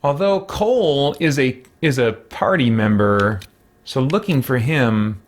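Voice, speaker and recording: A man doing casual let's play commentary as a streamer, musing and thinking out loud. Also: medium pitch. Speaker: gaming streamer.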